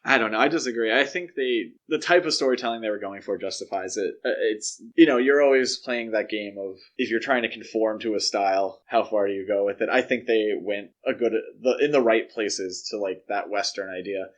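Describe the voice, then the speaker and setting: A man hosting a podcast in casual, opinionated discussion, talking in a conversational way with measured, hedged opinions. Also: lowish voice, muffled recording. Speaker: podcast host.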